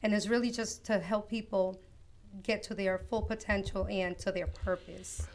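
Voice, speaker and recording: A woman from Costa Rica, a guest author on an interview show, telling her life story in an earnest, reflective, unhurried way. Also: medium pitch, steady tone. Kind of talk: personal testimony in an interview.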